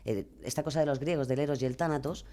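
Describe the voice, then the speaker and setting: A woman with a Castilian Spanish accent chatting casually as a podcaster guest in a radio interview, expressive, rambling and self-deprecating. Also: very deep voice, fast talker. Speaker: guest, true-crime podcaster.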